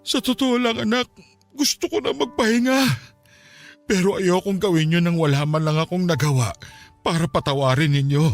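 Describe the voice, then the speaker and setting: A man's voice: high voice, normal speed, faint music behind. A Filipino man playing a remorseful aging father in a radio drama, pleading hesitantly and tearfully with an estranged child. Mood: tearful, ashamed.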